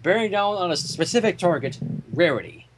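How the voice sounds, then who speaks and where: Male reader, fan fiction narration. High-pitched for a man, very expressive delivery. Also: brisk, slight background noise. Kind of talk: expressive storybook-style narration.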